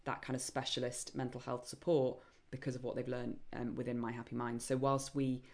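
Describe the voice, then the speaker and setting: A woman hosting a live online Q&A session, chatty and enthusiastic, with many ums and a voice getting croaky. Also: a bass voice, flat delivery, brisk, a little mellow. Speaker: webinar host and program founder.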